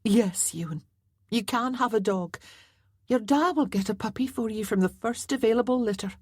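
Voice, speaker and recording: Woman playing a soft-spoken mum, loving but firm, slightly husky, dry sound. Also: on the high side, moderate pace, dry recording, pitched above the performer's own voice. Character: tender young Scottish mother, loving but firm.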